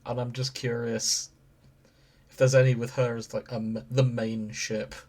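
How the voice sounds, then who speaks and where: A man's voice: medium pitch, level tone, moderate pace, a little mellow. A man co-hosting a podcast in casual conversation, with a dry, understated sense of humour. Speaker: podcast co-host. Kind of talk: casual chat.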